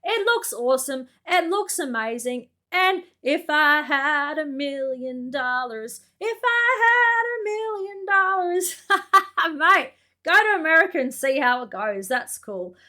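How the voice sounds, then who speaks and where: A young woman with a broad Australian accent reacts to a video in relaxed, chatty commentary. Her voice sits high and sounds bright and clear. She laughs easily and keeps tossing in "mate". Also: slow pace.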